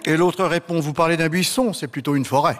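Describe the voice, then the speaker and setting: A man's voice: a little high, a little fast, a bit bright. A man giving humorous mock explanations as a game show panelist, with hesitant asides. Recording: reverberant hall.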